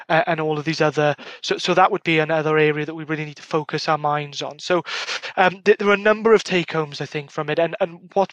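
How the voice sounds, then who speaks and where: A man's voice: on the high side, fairly bright tone, dry recording. A man being interviewed about his research as a former lecturer, speaking thoughtfully with frequent restarts and repeated words. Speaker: guest academic, former Welsh lecturer. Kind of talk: academic podcast interview.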